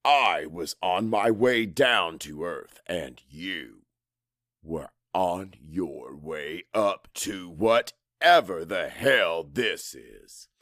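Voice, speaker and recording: An actor playing a blunt, disillusioned young man in an audiobook, speaking in a deliberate, reproachful way. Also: low-pitched, animated, fairly bright tone, dry recording, clean studio sound, exaggerated voice. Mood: bitter, disappointed. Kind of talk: audiobook character acting.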